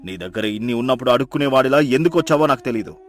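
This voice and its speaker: A man's voice: medium pitch, lively intonation, racing, lightly acted. A man voicing an honest, humble and principled poor village fisherman in a moral folktale. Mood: firm, indignant. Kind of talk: animated moral folktale acting. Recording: music behind.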